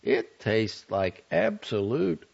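A brash, bossy woman from an audiobook, acted out by a male narrator, irritable and sardonic. The lines swing widely in pitch with a gravelly edge, and the recording is a little dull. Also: huge pitch swings, measured pace, darkish tone, exaggerated voice. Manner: sardonic, clipped and emphatic. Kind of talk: audiobook character dialogue.

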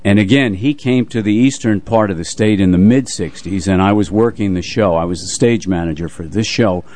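Older man reminiscing, low-pitched voice. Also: a little fast, darkish tone. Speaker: radio show co-host and music presenter. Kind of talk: casual reminiscing on a radio talk show.

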